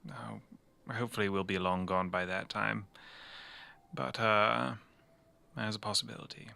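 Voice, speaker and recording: A man playing an awkward, weary, self-deprecating fugitive in a tabletop game, speaking haltingly. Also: on the low side, steady tone, creaky, sharp tone, smooth, full character voice. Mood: sheepish. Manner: halting, hesitant.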